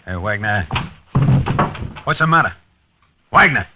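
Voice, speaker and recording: Low-voiced, terse police lieutenant. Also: male voice, animated, measured pace. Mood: alarmed, brusque. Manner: terse, clipped sentences. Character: hard-boiled police lieutenant, shaken, narrating his case. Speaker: narrator and detective. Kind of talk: 1950s radio crime drama acting. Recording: muffled 1950s radio recording.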